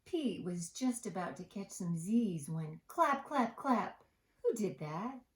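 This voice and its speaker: Woman doing bedtime storytime for little kids, slow singsong reading, roomy echo. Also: mid-range voice, lively intonation, fairly bright tone. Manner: singsong, deliberate, with asides to listeners. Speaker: storytime host and reader. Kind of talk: bedtime picture-book read-aloud for young children. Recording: echoey room.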